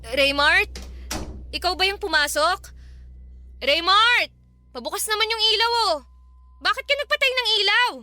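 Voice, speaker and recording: A woman's voice: extremely high pitch, lively intonation, normal speed, long pauses, pushed and bright, full character voice. A woman with a Filipino accent playing a feisty, street-tough young tenant in a radio drama, speaking emphatically. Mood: alarmed.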